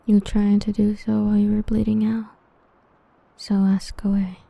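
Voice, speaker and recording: A woman playing an obsessive, protective villain with destructive powers, whispering softly and possessively into a close mic in an ASMR roleplay. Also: medium pitch, completely flat delivery, moderate pace, vocal fry, no echo, dull audio. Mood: tender.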